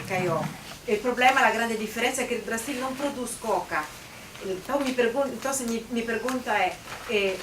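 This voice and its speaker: A woman from the audience with a Brazilian Portuguese accent, Portuguese native, asking a halting question. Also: fairly high pitch. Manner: halting, apologetic about her Spanish. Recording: distant, echoey room with noise.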